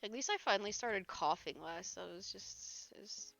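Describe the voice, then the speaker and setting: Young woman streamer, hoarse and gravelly, lively pitch, chatty with frequent laughs. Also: normal speed, no room sound, highs cut off. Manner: chatty, reads chat aloud, laughs often. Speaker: live streamer. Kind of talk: casual chat while gaming.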